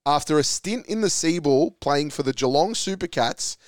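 A man's voice: fairly high pitch, expressive, moderate pace, no room sound, studio quality. An Australian man hosting a sports quiz podcast, upbeat as he reads clues and calls answers. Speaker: quiz host.

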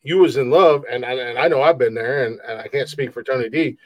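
Casting: man in his thirties, big booming voice, rambling podcast co-host, very dry close sound. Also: brisk, no room sound, poor audio. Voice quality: big loud voice. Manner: rambling, repeats words, laughs often. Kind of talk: casual podcast chat.

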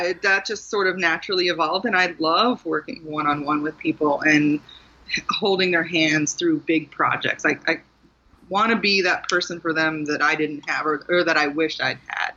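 A middle-aged woman from Maryland, talking frankly about her own life and often laughing at herself. Her voice is bright and forward. The sound is a little muffled and lo-fi. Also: deep voice, normal speed. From Maryland, USA. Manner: candid and self-deprecating, laughs often. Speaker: guest author. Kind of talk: casual interview conversation.

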